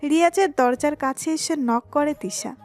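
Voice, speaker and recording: A woman's voice: high voice, normal speed, darkish tone. A woman reading scripted narration for a romantic story. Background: music.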